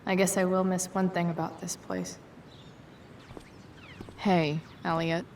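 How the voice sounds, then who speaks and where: A woman's voice: lowish voice, level tone, takes it slowly, a little mellow, a little hiss or hum. A woman playing a defensive, rebellious teenage girl with dry sarcasm in a video game cutscene. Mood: dry, musing.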